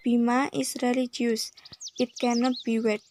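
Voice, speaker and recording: A woman's voice: on the high side, level tone, normal speed, a little mellow, slight background noise, lightly acted. A woman with an Indonesian accent playing a doubtful young student in an amateur English radio drama, with short stilted lines. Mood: doubtful, defensive. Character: young female student, doubtful and defensive of her friend.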